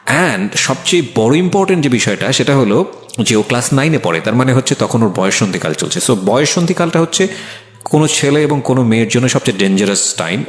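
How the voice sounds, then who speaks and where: A Bangladeshi man hosts a life-story radio show in a measured, deliberate manner. His medium-pitched voice carries a clear room echo, and he slips English phrases into his talk as he addresses listeners. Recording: echoey room.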